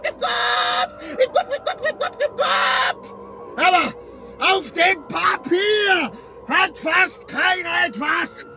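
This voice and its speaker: An actor playing an obsessive, tormented scholar in a radio play monologue, speaking emphatically and haltingly, repeating himself. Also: male voice, extremely high pitch, exaggerated voice. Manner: emphatic, repetitive, halting delivery with drawn-out words. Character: obsessive, tormented scholar consumed by his life's study.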